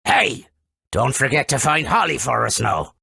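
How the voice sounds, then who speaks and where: A man voicing a proud, helpful, folksy forest creature in a video game, with a raspy, creaky voice. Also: medium pitch, sing-song, normal speed, pushed and bright, no echo, heavily acted. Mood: proud, explanatory. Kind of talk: video game character acting.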